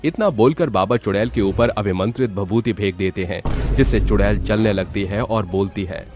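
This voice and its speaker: A male narrator reads a horror cartoon tale. His voice is on the lower side and the delivery is scripted storytelling. Music plays softly behind him. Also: normal speed. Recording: background music under the voice.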